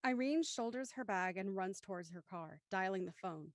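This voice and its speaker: A woman narrator reading scripted audio drama stage directions in a measured, descriptive manner. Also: mid-range voice, expressive, dry recording, studio quality.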